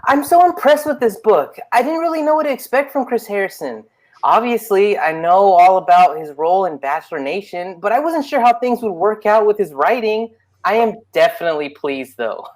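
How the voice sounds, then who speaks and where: A woman's voice: medium pitch, animated, normal speed, a little mellow. A woman on a comedy show, reading a review aloud and chatting casually.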